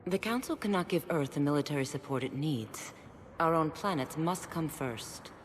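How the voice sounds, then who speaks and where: A woman's voice: low-pitched, lively intonation, moderate pace, a little hiss or hum. A woman playing a stern, proud alien councilor in a video game, formal, clipped and dismissive. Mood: firm refusal. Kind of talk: dramatic video game acting. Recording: echoing hall, faint background ambience.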